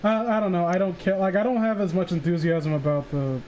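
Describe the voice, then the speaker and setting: A man's voice: high voice, fairly even intonation, fairly quick, darkish tone. A man hosting a casual livestream group call, with a teasing, profane way of talking. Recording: faint background noise, livestream group call.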